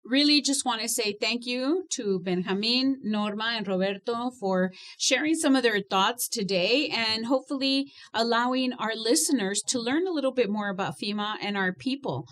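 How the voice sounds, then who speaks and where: A woman's voice: fairly high pitch, a bit bright. A woman hosting a podcast interview, teasing her guests and laughing often. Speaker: podcast host. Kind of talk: casual interview chat.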